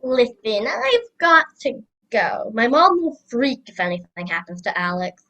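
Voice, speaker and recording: A woman's voice: a little high, sing-song, measured pace, heavy background noise. A young female student playing a snarky, easily grossed-out older sister in a children's radio play. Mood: puzzled.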